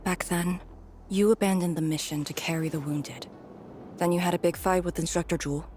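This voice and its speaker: A woman playing a battle-hardened female soldier in a video game, with a grave, measured and earnest delivery. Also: fairly low pitch, fairly even intonation, darkish tone, a little hiss or hum, close to an everyday voice. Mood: solemn, earnest.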